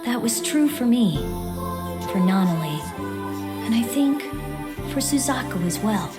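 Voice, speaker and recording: A proud young exiled prince in an anime audio drama. The boy's voice is fairly high and unhurried, swinging widely in pitch. He sounds guarded and haughty, with clear music behind. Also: animated. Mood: brooding, reflective.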